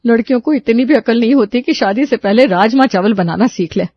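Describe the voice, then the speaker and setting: A woman's voice: fairly high pitch, brisk, no room sound, muffled recording. A woman voicing a nagging, sarcastic middle-aged mother-in-law in a cartoon story, chiding with rhetorical questions. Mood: disapproving. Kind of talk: Hindi cartoon moral story voice acting.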